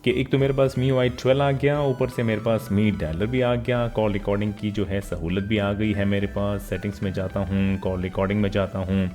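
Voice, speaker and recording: A man's voice: on the low side, steady tone, moderate pace, hardly any pauses, darkish tone, soft background music. A man giving an informal tech walkthrough as a vlogger, mixing English words and phrases into his speech. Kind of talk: informal phone update walkthrough narration.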